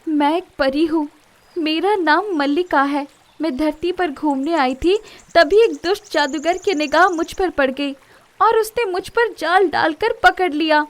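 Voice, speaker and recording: A woman voicing a polite, earnest young fairy in a children's story, with formal, dramatic and expressive speech. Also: very high-pitched, unhurried.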